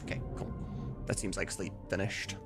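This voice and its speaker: A man in his thirties chatting casually on a live stream, rambling and candid. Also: normal speed. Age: 30s. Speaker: live streamer, game dub maker. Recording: music playing behind the voice.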